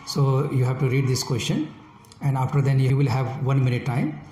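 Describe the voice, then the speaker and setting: A man with a Nepali accent runs a formal speaking-test interview, putting each question slowly and with hesitant fillers. His voice is mellow and relaxed. It sounds like a roomy space with faint background noise. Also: medium pitch. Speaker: IELTS speaking examiner. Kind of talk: scripted exam interview questions. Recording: echoey room with faint noise.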